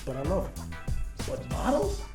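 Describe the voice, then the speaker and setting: A man hosting a podcast, from Jamaica, talking in a hyped, casual way and shouting out guests and sponsors. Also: sits high, wildly swooping pitch, normal speed, echoey room, far from the mic, over music. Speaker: podcast host.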